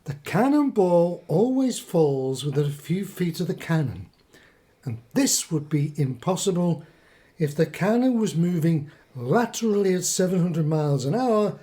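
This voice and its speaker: A middle-aged British husband in a radio drama, a newly converted believer in odd theories. He explains things earnestly and pedantically, and his pitch moves around in a lively way. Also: a little slow. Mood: pleading, insistent. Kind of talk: radio play acting.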